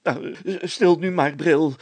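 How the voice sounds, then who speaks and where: A pompous, excitable small-town mayor from a storybook , acted out by a male reader. The voice is pitched high and rough-edged, fussy and exclaiming, with indignant stammers and drawn-out words. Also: no room sound. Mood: pleading, firm.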